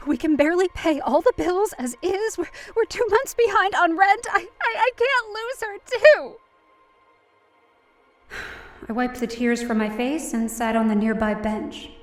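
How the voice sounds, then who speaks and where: A woman's voice: very high-pitched, expressive, a little slow, echoey room, far from the mic, soft background music. A woman playing a down-on-her-luck, distressed young woman narrating her own story in an audio drama. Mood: tearful, panicked. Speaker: lead actor in audio drama. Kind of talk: first-person dramatic narration.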